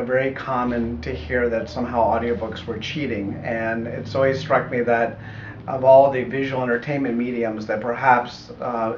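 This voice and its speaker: A middle-aged American man explaining his audiobook work in a measured, thoughtful way during an interview. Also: medium pitch. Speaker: audiobook producer being interviewed. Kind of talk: conversational interview answers. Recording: echoey room, muffled, faint noise.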